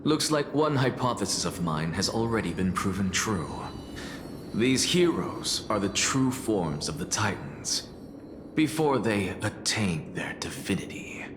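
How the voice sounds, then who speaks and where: Slow, theatrical scholar in echo. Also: male voice, lowish voice, big pitch swings. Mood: intrigued, confident. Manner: deliberate, theatrical, musing. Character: analytical, self-assured scholar reasoning out ancient mysteries. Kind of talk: dramatic video game voice acting. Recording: very echoey hall with clear noise.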